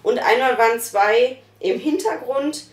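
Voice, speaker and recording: A woman in her thirties giving a casual, thoughtful review on a sofa, pausing often with fillers. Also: a little high. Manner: thoughtful, hesitant with many fillers. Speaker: podcast reviewer. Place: small living room, on a sofa. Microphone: far camera. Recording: echoey room from far off.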